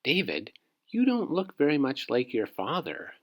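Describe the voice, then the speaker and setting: Man putting on a timid, excitable little girl. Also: medium pitch, a little slow, pitched above the performer's own voice. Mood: polite.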